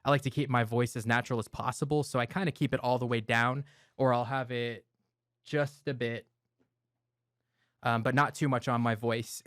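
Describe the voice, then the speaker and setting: A man reviewing audio gear in a relaxed step-by-step way, speaking close to a broadcast microphone in a home studio. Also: mid-range voice, no room sound. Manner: relaxed, step-by-step explaining with filler words. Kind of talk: explanatory gear walkthrough. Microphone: close broadcast dynamic mic.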